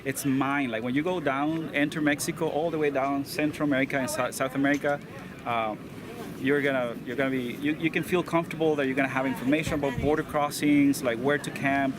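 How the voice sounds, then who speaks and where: A man's voice: mid-range voice, fairly even intonation, a little fast. A man from Venezuela with a Venezuelan Spanish accent, talking in a hesitant, casual way as an interview guest. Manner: hesitant, many fillers and restarts. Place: outdoors at an overland rally. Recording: outdoor recording with clear background noise.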